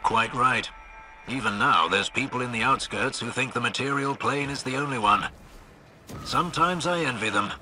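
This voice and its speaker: British man, older gentleman scout, video game dialogue, polite, dry understatement, unflappable, clear music behind. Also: medium pitch, normal speed. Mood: dry, measured. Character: genteel older male scout and sniper, dryly witty, unflappable.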